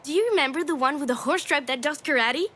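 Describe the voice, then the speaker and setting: A woman's voice: sits high, fast talker, a bit bright, slight background noise. An actress voicing a cheeky, affectionate young daughter in a video game, with a playful, girlish, teasing manner. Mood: teasing, encouraging. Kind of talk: naturalistic video game drama acting.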